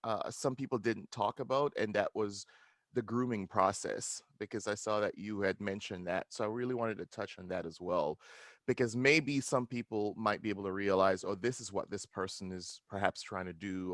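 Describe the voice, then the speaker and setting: A man hosting an interview, relaxed and chatty, using fillers as he sets up his guest. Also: low voice, gravelly, dry recording, studio quality. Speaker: host and interviewer.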